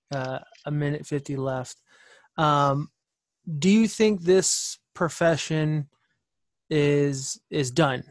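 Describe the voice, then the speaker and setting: A man's voice: fairly high pitch, no room sound, studio quality. A young man hosting a casual podcast interview, reacting with short exclamations and hesitant restarts. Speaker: podcast host.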